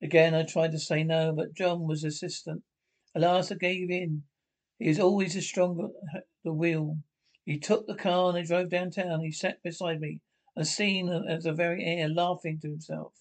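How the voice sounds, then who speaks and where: Slightly high-voiced man, halting script reader. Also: level tone, rough recording. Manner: halting, stumbling over the text. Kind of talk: reading a radio thriller script aloud.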